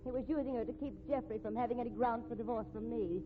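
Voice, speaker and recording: An actress playing a cornered, defiant, sharp-tongued married woman with dramatic, emphatic 1950s radio acting. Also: fairly high pitch, steady tone, fast talker, natural voice. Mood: cool, cutting. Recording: tinny, noisy vintage radio recording.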